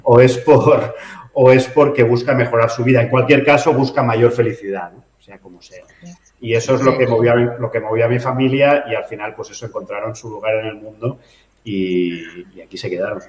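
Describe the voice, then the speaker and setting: A man's voice: mid-range voice, steady tone, darkish tone. Catalan man answering in an echoey room. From Catalonia, Spain. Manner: measured, thoughtful, many filler "eh" and tag "¿no?". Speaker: mayor. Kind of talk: interview answers.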